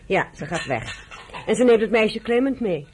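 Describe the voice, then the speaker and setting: An actress as scornful, sharp-tongued young woman. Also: mid-range voice, lively intonation, normal speed, close to an everyday voice. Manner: curt, judgmental. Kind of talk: Dutch radio drama acting. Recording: noisy old radio drama recording.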